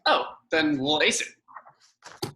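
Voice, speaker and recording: A doting, easily flustered young boyfriend, played by a man, with a bright, lively voice in an echoing room. He is sappy and reads like part of a comedy table read. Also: on the high side, drawn-out words, slight background noise, full character voice. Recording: roomy, echoing pickup.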